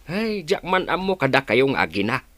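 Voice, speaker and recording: A man's voice: mid-range voice, big pitch swings, moderate pace, fairly bright tone, no echo. A man with an Ilocano accent acting a skeptical, doubting village husband in a radio drama.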